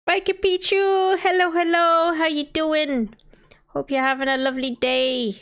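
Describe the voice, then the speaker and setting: A woman's voice: high voice, flat delivery, unhurried, a bit bright. Streamer, female, 30s; casual, chatty, greets viewers by name. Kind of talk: casual live-stream chat while playing a city-building game.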